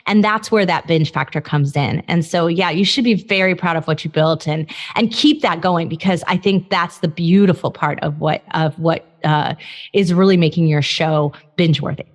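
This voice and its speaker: A woman's voice: fairly low pitch, big-room sound. A woman hosting a podcast interview, enthusiastic and affirming toward her guest. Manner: enthusiastic, affirming, quick to laugh. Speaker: podcast host.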